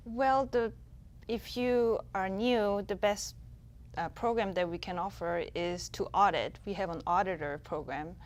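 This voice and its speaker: A woman who runs a music festival, answering TV studio interview questions in a measured, somewhat hesitant way. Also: mid-range voice. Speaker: festival executive director and musician.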